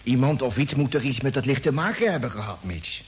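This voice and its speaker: A man's voice: mid-range voice, lively intonation, a little fast, natural voice. An actor playing an eager, curious space crewman who stammers and questions, in mid-century radio drama style. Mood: puzzled, musing. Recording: noisy old radio play recording.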